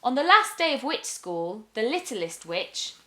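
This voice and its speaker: A woman reading a rhyming children's picture book aloud as an expressive storyteller. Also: a little high, measured pace, reverberant.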